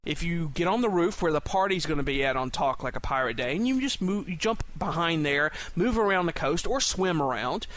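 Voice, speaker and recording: A man with a somewhat higher-pitched voice talks as a podcast host. His delivery is a casual monologue, enthusiastic and chatty, with emphatic stress on the points he cares about. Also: lively intonation, a little fast, hardly any pauses, fairly bright tone.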